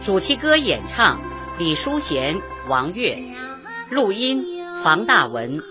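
A woman announcer reading scripted radio credits in a formal, measured way. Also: mid-range voice, big pitch swings. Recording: dull, lo-fi audio over music.

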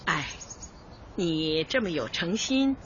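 An actress playing a cheerful, devoted older community dance organizer in a radio comedy, exclamatory and folksy. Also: female voice, lowish voice, expressive, takes it slowly, a bit bright, slight background noise. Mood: hearty, teasing. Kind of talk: light comedy radio drama acting. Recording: dull lo-fi audio, faint music.

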